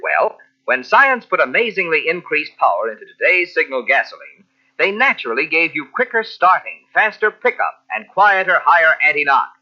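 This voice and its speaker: A male radio announcer with a lively, slightly high voice gives a polished 1940s commercial pitch. He leans on rhetorical questions. The recording is muffled with age. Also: normal speed. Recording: muffled old radio tape.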